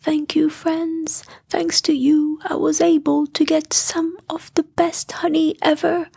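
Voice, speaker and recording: Woman playing a mild storybook male bear, honey-loving, slightly creaky, high-pitched, polite. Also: level tone, slow pace, a little mellow, dry recording, full character voice. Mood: grateful. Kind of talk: children's bedtime story character voice.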